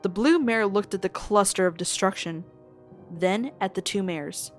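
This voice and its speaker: A woman narrating a scripted story in an expressive storytelling style. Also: mid-range voice, moderate pace. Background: music.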